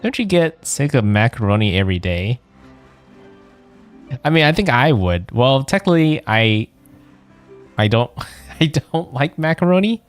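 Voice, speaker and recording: A man's voice: medium pitch, sing-song, a little slow, long pauses, soft background music. A man giving casual, rambling let's-play commentary as a game streamer, musing aloud.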